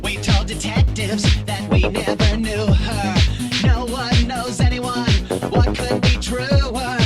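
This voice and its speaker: A woman's voice: medium pitch, animated, slow, loud music behind the voice. A woman performing song verses in a rhythmic style between speaking and singing. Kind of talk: rhythmic spoken-sung verses in a disco song. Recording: loud electronic disco backing.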